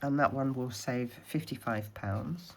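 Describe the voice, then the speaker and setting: A woman with a low voice talks through her savings challenges in a relaxed, chatty way, counting coins and notes aloud as she goes. She sounds a little snuffly from a cold. A faint hiss of noise sits under the recording. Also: a little slow. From Scottish Highlands. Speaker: budgeting vlogger.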